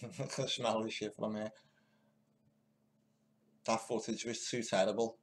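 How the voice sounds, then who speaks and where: A man's voice: fairly low pitch, fairly even intonation, unhurried. A man muttering casual, crude commentary to himself while he sets up and watches video clips at home. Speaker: video host.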